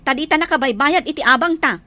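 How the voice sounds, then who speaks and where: A woman playing an anxious, sharp-tongued character in an audio drama, fretting and nagging with an Ilocano Filipino accent. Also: sits high, fast, no echo. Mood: fretful, nagging. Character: high-voiced, anxious, sharp-tongued woman short of money.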